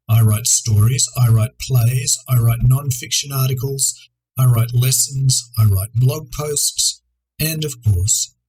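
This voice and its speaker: A man presenting an educational video as a writer, reading scripted instructional narration in a measured, articulate way. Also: mid-range voice, darkish tone, rough recording.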